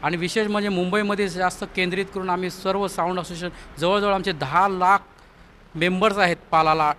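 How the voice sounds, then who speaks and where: A man's voice: sits high, fairly even intonation, brisk, pushed and bright. A man making a statement in an interview as a spokesman. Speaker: association spokesman being interviewed. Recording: faint outdoor city noise.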